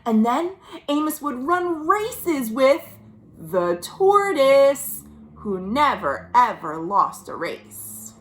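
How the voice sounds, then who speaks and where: A woman with a high-pitched voice and an American accent hosts a storytime for little kids. Her delivery is very animated and slow, stretching out words and pausing to put simple questions to her listeners. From United States. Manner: expressive, drawn-out words, gentle questions to young listeners. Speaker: children's librarian hosting a virtual storytime. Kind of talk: read-aloud storytelling for young children, with chatty asides. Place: at home.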